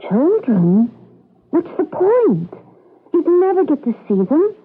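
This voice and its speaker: A woman's voice: high-pitched, sing-song, slow pace, heavily acted. Earnest woman, phone-like radio sound. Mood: wistful. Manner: earnest, questioning. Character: naive, earnest, compliant female citizen of regimented future. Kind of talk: 1980s radio drama acting. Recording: thin phone-like old radio recording.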